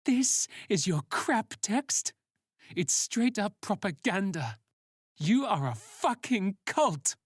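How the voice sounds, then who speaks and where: A man's voice: high-pitched, sing-song, slow, slightly rough, no echo, clean studio sound, pitched above the performer's own voice, full character voice. A man voicing an agitated, confrontational teenage boy in a dramatic story. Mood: angry, defiant. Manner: emphatic, confrontational. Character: agitated teenage boy searching for his sister.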